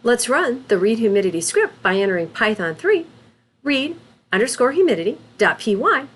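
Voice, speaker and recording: High-voiced woman narrating a lively tutorial. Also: husky. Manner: deliberate, instructional, spells out terms letter by letter. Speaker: instructor. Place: voice-over for a screen recording.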